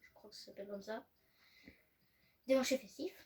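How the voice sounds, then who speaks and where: A woman's voice: on the high side, fairly quick. A woman co-hosting a gaming video, chatting casually with lots of hesitant fillers. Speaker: gaming channel co-host. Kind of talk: casual gameplay chat. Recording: faint noise, distant from mic.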